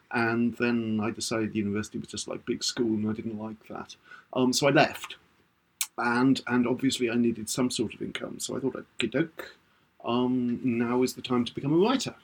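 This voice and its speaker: A man's voice: medium pitch, slightly rough. A man in his sixties from England, a writer on a podcast, telling his story in a casual, chatty way with hesitant restarts. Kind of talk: casual chat.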